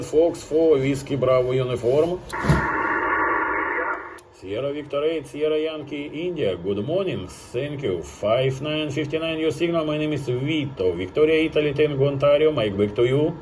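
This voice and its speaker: A man's voice: on the high side, animated, normal speed, heavy background noise. A man working as an amateur radio operator, exchanging short repetitive phrases and phonetic spellings in ham radio contacts.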